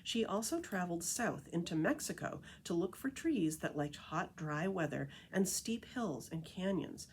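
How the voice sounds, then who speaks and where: Female library storyteller, slightly low voice, animated and emphatic read-aloud delivery for young listeners. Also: normal speed, few pauses, a little hiss or hum. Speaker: librarian reading a picture book aloud.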